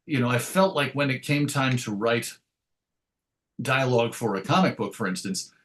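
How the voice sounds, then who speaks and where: Male interview guest, writer type: conversational, thoughtful and wordy, with hesitant phrasing and a medium-pitched voice. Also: expressive, moderate pace, fairly bright tone. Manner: reflective, wordy, hesitant phrasing with self-corrections and wry asides. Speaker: interview guest, comics writer and radio host.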